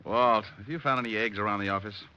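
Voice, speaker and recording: Deep, bright-voiced American radio private eye. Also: male voice, quick pace. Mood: wry. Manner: wry, flirtatious, hard-boiled. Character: wisecracking, cool-headed male private detective and narrator. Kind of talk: 1940s radio detective acting. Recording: muffled old-time radio recording, hiss.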